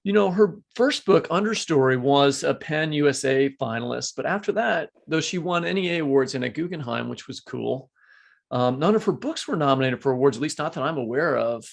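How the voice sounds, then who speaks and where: A man's voice: a little high, expressive, fairly quick, gravelly. A man co-hosting a conversational literary interview, earnest and discursive, often correcting himself as he goes. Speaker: podcast co-host.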